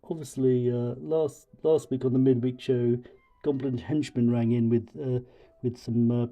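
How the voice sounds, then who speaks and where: A man voicing a pompous, booming oaf with a cold, delivering halting mock-scholarly film criticism. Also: fairly even intonation, dark tone, lightly acted. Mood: boastful, aggrieved. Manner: pompous, mock-intellectual, halting over long words. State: has a cold.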